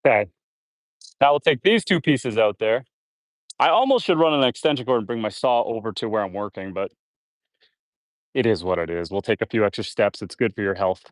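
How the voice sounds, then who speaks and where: A man's voice: fairly low pitch, fairly quick, pauses often, no room sound. A man live-streaming from his homestead, chatting casually while he builds and reading viewer comments, sounding a little congested. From Canada. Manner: relaxed, chatty, reads viewer comments aloud. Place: outdoors on a homestead.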